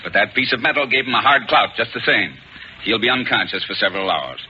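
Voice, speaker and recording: An actor playing an authoritative space colonel, crisp and serious, in a 1950s radio adventure. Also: male voice, lowish voice, expressive, quick pace, sharp tone. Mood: grave, pressing. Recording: noisy, muffled 1950s radio recording.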